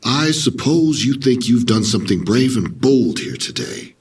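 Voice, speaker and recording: An actor playing a stern, angry military commander in an audio drama, scolding with authority. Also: male voice, mid-range voice, very animated, unhurried, husky, distant mic, full character voice.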